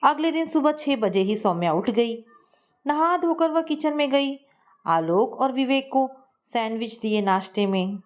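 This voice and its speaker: A woman reading a family moral story aloud in a steady, tender narrating manner. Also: high voice, unhurried.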